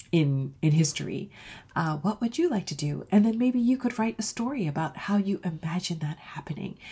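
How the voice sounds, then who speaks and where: Female children's author for read-aloud: low, lightly husky voice, expressive, talks directly to kids. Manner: expressive, addressing young listeners. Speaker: author reading their own book.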